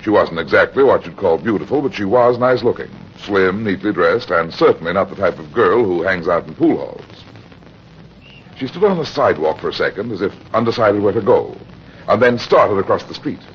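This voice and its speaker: Old-time radio gumshoe: American, low-pitched, hardboiled, gallant underneath, muffled vintage sound. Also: male voice, animated, a little fast. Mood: vivid recounting. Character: world-weary, tough but gallant male private detective. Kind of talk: 1940s radio drama acting, first-person detective narration. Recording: dull, noisy old-time radio recording.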